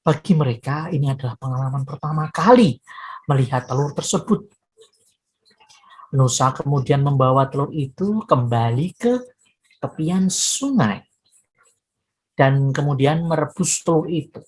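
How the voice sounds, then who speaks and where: A woman's voice: low voice, lively intonation, unhurried, long pauses, a little mellow, poor audio. A woman storyteller narrating expressively for children, with dramatic phrasing and direct address to her young listeners.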